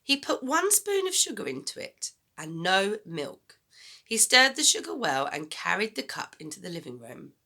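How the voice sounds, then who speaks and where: A woman reading a children's story aloud as a teacher, in expressive narration. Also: mid-range voice, moderate pace.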